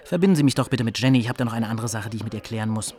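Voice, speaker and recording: Guy acting brash prank caller in a radio play, talks really fast, bit stammery, insistent. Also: medium pitch, darkish tone, close to an everyday voice. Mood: pushy. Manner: stammering bluffs turning insistent. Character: brash fast-talking prank caller bluffing as senator's aide. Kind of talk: radio drama dialogue.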